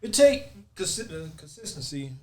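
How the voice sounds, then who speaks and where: A man's voice: fairly high pitch, big pitch swings, normal speed, crowd noise. A man chatting informally as a podcast panelist, encouraging and slangy. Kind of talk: casual chat.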